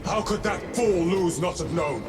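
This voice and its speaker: Echoing, grim space soldier. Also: male voice, sits high, animated, unhurried. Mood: angry, grim. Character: grim, indignant male space soldier in battle. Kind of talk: dramatic video game acting. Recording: distant, long reverb, music behind.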